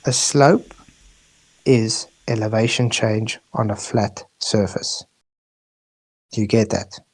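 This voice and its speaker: A man's voice: on the low side, slow, breathy edge, poor audio. A man from South Africa hosting a heated online debate and pressing for yes or no answers. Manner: insistent, pressing questions.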